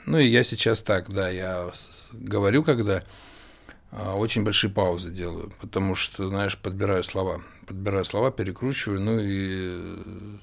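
A man with a low, gravelly voice talks loosely as a podcast co-host. He pauses and restarts often and fills the gaps with fillers. Now and then a mild swear word slips into his easygoing chat. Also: fairly even intonation. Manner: halting, casual, with frequent fillers and mild swearing. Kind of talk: casual chat.

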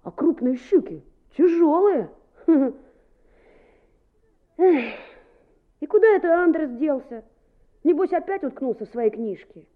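An actress playing a lively, boastful small schoolboy in a radio play, muttering and exclaiming expressively to himself. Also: female voice, sits high, a little slow, pauses often, narrow, tinny audio. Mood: excited, boastful. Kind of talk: Soviet-style radio play acting.

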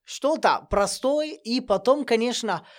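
A man from Italy with an Italian accent, a guest chatting in non-native Russian with grammar slips and lively storytelling. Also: extremely high pitch, measured pace. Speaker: guest, language teacher. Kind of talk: casual podcast conversation.

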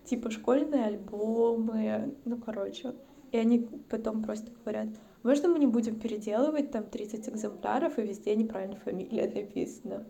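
Podcast guest: woman from the North Caucasus, gravelly, mellow low tone, reflective and chatty. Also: a little fast. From North Caucasus, Russia. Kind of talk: casual chat.

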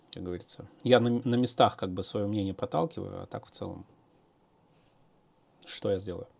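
A man's voice: lowish voice, gravelly, a little mellow, breathy edge. A man, a programmer, answering viewer questions in a casual, hesitant monologue with many fillers and restarts.

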